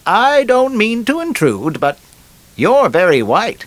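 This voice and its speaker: A man voicing a prim, nosy lady from a joke in a high, drawn-out, exaggerated voice. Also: wildly swooping pitch, a bit bright, full character voice. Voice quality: high, put-on female voice.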